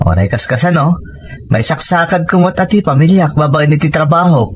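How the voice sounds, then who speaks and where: An actor playing a respectful, hesitant grown son in an Ilocano radio drama. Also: male voice, a little high, fairly quick, natural voice. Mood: bashful. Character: respectful, bashful adult son with a family.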